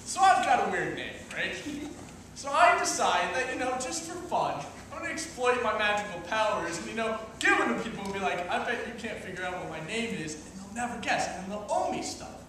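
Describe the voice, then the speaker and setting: A man's voice: sits high, sing-song, a little fast, pushed and bright, heavily acted. A man improvising on stage as a casual, scheming magical imp who explains things like an economist, chatty in manner, in an echoey room. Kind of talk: improv comedy storytelling on stage. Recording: distant mic, echoey noisy room.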